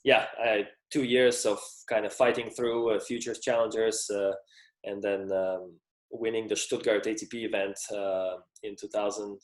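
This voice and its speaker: Low-voiced man, echoey room. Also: flat delivery, normal speed. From Russia. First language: Russian. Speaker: guest, professional tennis player. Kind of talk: casual interview talk.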